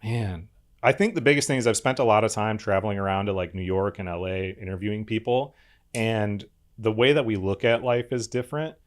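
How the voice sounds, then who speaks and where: A relaxed man in his thirties with a Midwestern accent, a podcast guest who talks loosely and lets filler words run through his stories. His voice sits on the low side. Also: brisk. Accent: Midwestern American. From Wisconsin. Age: 30s. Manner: relaxed storyteller, many filler "like"s. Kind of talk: casual chat.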